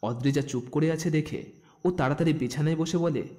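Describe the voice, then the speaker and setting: A man narrating a scripted romantic story with expressive storytelling delivery. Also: a little high, brisk. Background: background music.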